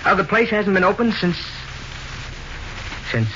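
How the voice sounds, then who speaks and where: Casting: evasive, uneasy husband, lively mid-range voice, vintage radio mystery acting, muffled noisy recording. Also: male voice, sits high. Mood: awkward, uneasy. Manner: evasive, measured, halting. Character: guarded, uneasy widower husband newly remarried.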